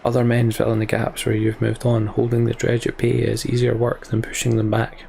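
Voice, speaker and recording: A man's voice: medium pitch, completely flat delivery, a little fast, vocal fry, a little mellow, slight background noise. A man reading story text from a game aloud and adding casual commentary as he plays. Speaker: let's player.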